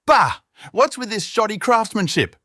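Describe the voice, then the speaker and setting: A man voicing a loud, grumpy male dwarf smith, brash and coarse in manner. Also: high-pitched, big pitch swings, moderate pace, no room sound, clean studio sound, pitched above the performer's own voice, exaggerated voice. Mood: indignant.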